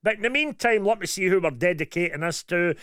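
A man's voice: high voice, expressive, fast, fairly bright tone, clear, resonant tone, dry recording, studio quality. A Scottish man co-hosting a radio show, chatting casually with his co-host and reading out listener messages with a joking manner and plenty of fillers. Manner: chatty, jokey, many fillers. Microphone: studio mic.

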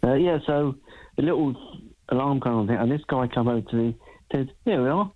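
A man's voice: medium pitch, fairly quick. A man calling in to a radio phone-in and telling a wry story about a shopping trip. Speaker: caller. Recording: dull lo-fi phone line.

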